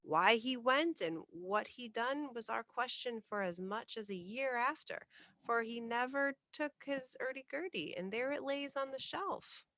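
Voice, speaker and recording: A woman reader puts on an older serving woman from an old storybook tale. She is fussy and gossipy, quick to grumble, with homely, unschooled country phrasing. The sound is dry, without room echo. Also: a little high, expressive, a bit bright, pitched above the performer's own voice. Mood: gossipy, musing. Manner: irritable, homely, rustic uneducated phrasing. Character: fussy, gossipy older female household servant. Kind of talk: storybook character voice in a narrated reading.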